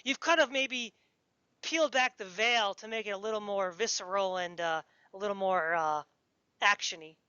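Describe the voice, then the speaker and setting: A woman's voice: mid-range voice, normal speed, bright, forceful tone, clean tone, no room sound, dull audio. A woman chatting casually as a podcast interviewer, talking in a rambling, enthusiastic way like a fan.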